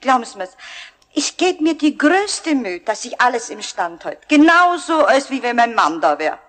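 A Bavarian caretaker woman with a high voice. She scolds and complains with wounded self-pity, in the manner of an old children's radio play. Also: big pitch swings, moderate pace, a bit bright. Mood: plaintive. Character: aggrieved, scolding Bavarian caretaker woman, middle-aged or older.

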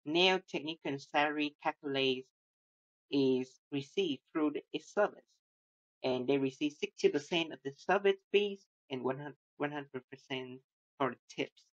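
Halting man walking through code. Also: on the high side. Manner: halting, many restarts and fillers, non-native phrasing. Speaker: student presenting a coding project. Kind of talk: screen-recorded code walkthrough. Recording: dull lo-fi sound.

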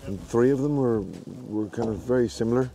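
A man's voice: mid-range voice, fairly quick, dark tone, slight background noise. A man, a yacht sailor describing a race in an easygoing, rambling way, outdoors at night. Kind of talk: post-race interview.

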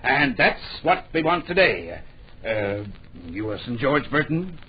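A scatterbrained blustery publisher, mutters and repeats himself, old radio drama, muffled with some hiss. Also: male voice, medium pitch, sing-song, unhurried. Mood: brisk, blustering. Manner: muttering, hemming, repeating his phrases. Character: absent-minded, blustering, excitable New York publisher.

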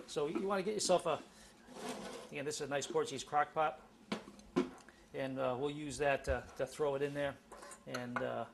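Middle-aged male cooking host, keen and chatty, slightly high voice, noisy kitchen sound. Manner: enthusiastic, talks while cooking, many fillers. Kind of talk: home cooking demonstration. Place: home kitchen.

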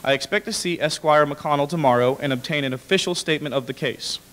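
A man's voice: a little high, quick pace, fairly bright tone. Young man reading aloud in a reverberant hall. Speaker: dramatic reader. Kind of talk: formal read-aloud of historical documents. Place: large indoor hall with brick walls. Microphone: podium microphone. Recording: reverberant hall, podium microphone.

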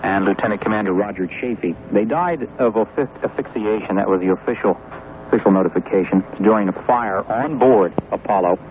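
A man reporting background facts on live radio news, slow and deliberate, from a shortwave recording. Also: fairly low pitch, darkish tone, a little hiss or hum, poor audio. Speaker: wire service reporter.

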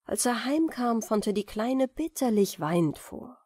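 A woman's voice: fairly high pitch, lively intonation, measured pace, mellow, slightly rough, no room sound, studio quality. A woman reading a fairy tale as scripted audiobook narration for bedtime.

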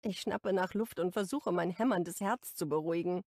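A woman reading a romance audiobook in the first person, intimate and expressive. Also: lowish voice, moderate pace, no room sound, clean studio sound. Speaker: audiobook narrator.

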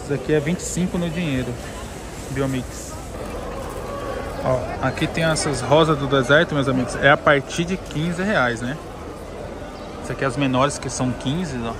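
A man's voice: medium pitch, normal speed, pauses often. A Brazilian man giving a casual, chatty walk-through as a vlogger at a market, talking informally and straight to viewers. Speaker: vlogger showing a plant market. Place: busy outdoor street market. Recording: noisy outdoor recording with street sounds.

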